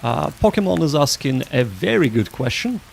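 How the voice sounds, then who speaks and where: A man hosting a livestream, chatting casually with viewers in a halting, rambling way that often restarts sentences. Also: a little high, big pitch swings, creaky, slight background noise. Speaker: livestream host.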